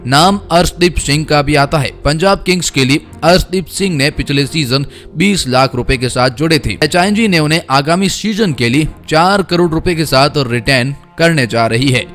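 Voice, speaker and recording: A young man reading a scripted cricket news voice-over in a brisk, emphatic way, with asides to viewers. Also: a little high, a bit bright. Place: voice-over, not in pictured place. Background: faint background music.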